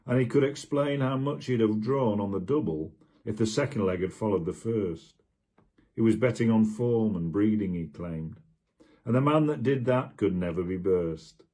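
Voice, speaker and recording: A man with a husky voice, reading narrative poetry aloud. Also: deep voice, darkish tone. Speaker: poetry reader. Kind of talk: narrative poetry recital with spoken dialogue.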